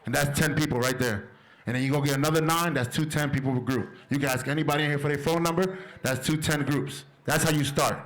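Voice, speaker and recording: A man's voice: fairly high pitch, fairly even intonation, quick pace, low-quality recording. A man on a community panel, a father, talking in an emphatic, repetitive and direct way. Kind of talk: motivational talk at a panel.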